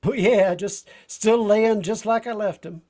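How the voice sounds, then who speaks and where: A man's voice: sits high, measured pace, darkish tone. A man playing a folksy, slangy American sidekick in a 1940s-style radio drama. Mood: gleeful. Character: folksy, eager tough-guy sidekick called Doc.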